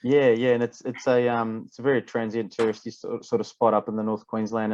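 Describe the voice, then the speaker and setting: A man from Fiji, a rugby coach chatting as a podcast guest, relaxed and repeating words as he thinks. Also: fairly low pitch, dry recording, muffled recording. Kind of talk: casual interview chat.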